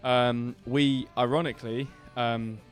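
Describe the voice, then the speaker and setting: A man with a booming voice, co-hosting a casual band podcast and telling a story in a rambling way with many fillers and restarts. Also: fairly even intonation, slow pace, soft background music. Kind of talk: casual chat.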